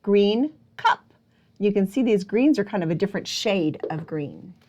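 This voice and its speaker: Animated, unhurried woman leading children's storytime. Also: medium pitch. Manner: playful, prompting listeners with questions; exaggerated wondering and exclamations. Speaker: children's librarian and storytime host. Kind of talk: picture book storytime for young children. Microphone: speaking close to a camera.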